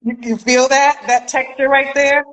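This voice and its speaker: A woman's voice: high-pitched, level tone, normal speed, fairly bright tone, highs cut off. A woman hosting a casual interview about creative craft, speaking emphatically and directly to her guest as a fellow theater director.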